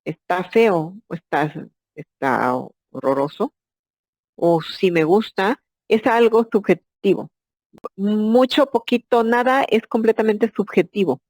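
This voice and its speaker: A woman's voice: mid-range voice, slow, a little mellow, dry recording. A woman, an architect, giving an explanatory masterclass lecture and asking herself rhetorical questions. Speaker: architect and instructor.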